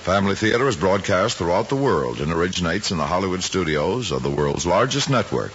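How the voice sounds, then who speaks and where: A man's voice: sits low, animated, brisk. A man announcing in a formal, polished 1950s radio style, reading a scripted sign-off. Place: radio studio. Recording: muffled vintage radio broadcast recording. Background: loud music.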